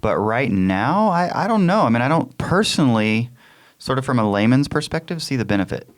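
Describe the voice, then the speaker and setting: A man's voice: mid-range voice, very animated, brisk. A man hosting a podcast in a casual, conversational style, close on a studio microphone. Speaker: podcast host. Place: small wood-panelled podcast room. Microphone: close studio mic on boom arm.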